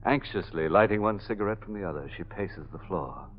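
A man's voice: low voice, fairly quick, a little hiss or hum. A man playing a gleefully macabre horror host in 1940s radio style, theatrical and mock-sinister. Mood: grave narrating. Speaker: host and narrator. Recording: muffled old radio recording, faint music.

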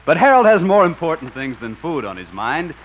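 A man's voice: fairly high pitch, very animated, a little fast, a little hiss or hum. A male announcer introducing a 1950s radio sitcom in a formal, showy way. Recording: dull old radio with loud music.

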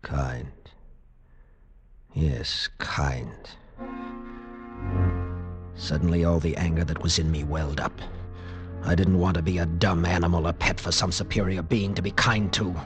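An actor playing a haunted, defiant captive lieutenant, telling his ordeal with grave intensity and dramatic pauses in an old radio drama. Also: sits low, natural voice. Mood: subdued, dismayed. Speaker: narrator and protagonist. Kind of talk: dramatic first-person radio narration, 1940s-50s.

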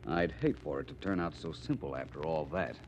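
An actor playing a suave, composed detective who asks polite, probing questions in a 1940s radio drama. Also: male voice, rumbling low, lively intonation, a little fast. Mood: composed, pensive. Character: suave, composed male amateur detective, thoughtful and urbane. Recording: reverberant, muffled, faintly hissy 1940s radio recording.

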